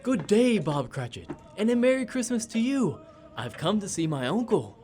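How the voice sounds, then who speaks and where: An actor in a radio play playing a cheerful, good-natured younger nephew with a jovial, friendly way of talking. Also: male voice, high-pitched, very animated, moderate pace, music in the background.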